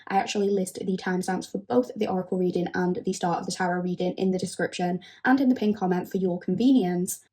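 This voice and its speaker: A woman recovering from a cold, gently and thoroughly introducing a tarot reading. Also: medium pitch. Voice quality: husky. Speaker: tarot reader.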